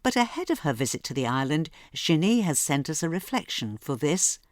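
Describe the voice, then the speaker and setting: Radio presenter, scripted promo read, dry booth, even mid voice. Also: medium pitch, brisk, dry recording. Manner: clear, informative, repeats details. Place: radio studio.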